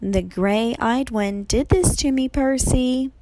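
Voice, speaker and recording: Woman voicing Medusa, a slow purring old monster. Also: a little high, noisy background, pitched above the performer's own voice. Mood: coaxing, sinister. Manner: coaxing purr that breaks into snarls. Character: sinister grandmotherly female monster, falsely sweet, then vengeful.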